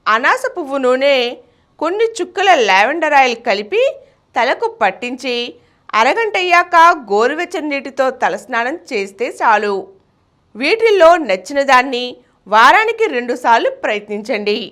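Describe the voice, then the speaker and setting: A woman's voice: high-pitched, pushed and bright. A woman news reader delivering a scripted bulletin in a formal, emphatic manner.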